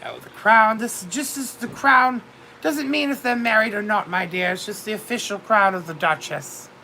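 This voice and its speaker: A woman's voice: medium pitch, moderate pace, gravelly, sharp tone, slight background noise, low-quality recording. A woman voicing a vain widowed duchess in her fifties with a British accent. She chats theatrically with her viewers and keeps calling everyone darling. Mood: grand. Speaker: livestream host. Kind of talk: in-character livestream chat.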